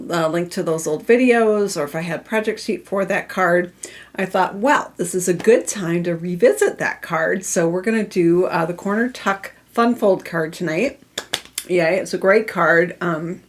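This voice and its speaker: A middle-aged woman with a cold, congested and a little weak-voiced, chatting casually as she hosts a live stamping craft lesson from her craft room. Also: mid-range voice. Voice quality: voice not strong, cough drop in mouth. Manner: chatty and friendly, many fillers, laughs at herself. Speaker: craft demonstrator hosting a live stream.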